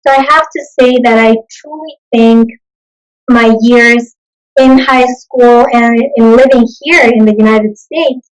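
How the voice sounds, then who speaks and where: A young woman from Bolivia, a native Spanish speaker, answering interview questions in an earnest, measured way. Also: fairly high pitch, rough recording. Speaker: interview guest, consultant.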